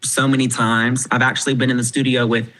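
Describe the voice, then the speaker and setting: A man in his twenties, a podcast guest talking casually, frank and animated, swearing freely. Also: medium pitch, brisk. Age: 20s.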